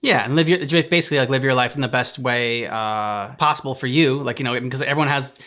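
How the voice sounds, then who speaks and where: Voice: medium pitch, quick pace, fairly bright tone. A reaction show co-host chatting casually and reflectively, searching for words in a hesitant manner.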